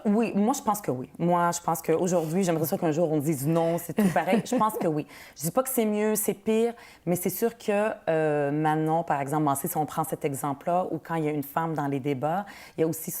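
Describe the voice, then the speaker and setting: A woman's voice: fairly low pitch, mellow. Woman with a Quebec French accent. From Palestine. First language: Arabic. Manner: earnest, laughs easily, repeats words. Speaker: politician, guest. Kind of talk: interview answers.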